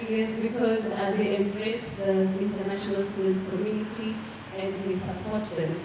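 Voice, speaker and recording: A young woman from Rwanda speaking earnestly as a panelist, with frequent fillers and restarts. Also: mid-range voice. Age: young adult. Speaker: panelist, student representative. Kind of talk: panel discussion answer. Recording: echoey hall from far off with background noise.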